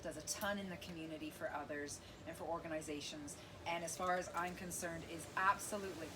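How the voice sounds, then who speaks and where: A woman in her forties hosting a casual interview, gushing and animated, breaking into gasps and laughs. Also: on the low side, fairly quick. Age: 40s. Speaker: podcast host. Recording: noisy background.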